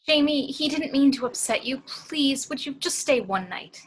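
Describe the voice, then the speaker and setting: A woman playing a gentle, persuasive, kind woman with a husky voice in an amateur table read. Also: sits high, animated, normal speed. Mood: pleading. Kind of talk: amateur at-home table read of a film script.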